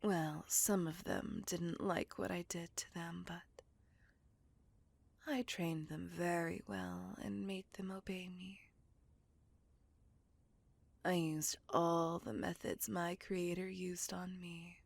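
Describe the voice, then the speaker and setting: Breathy, whispering seductive vampire woman, acted by a woman. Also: lowish voice, level tone, no echo, exaggerated voice. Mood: proud, possessive. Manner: slow, intimate, deliberate, savouring words. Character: seductive, possessive female vampire, vain and coldly vengeful. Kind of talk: whispered ASMR roleplay.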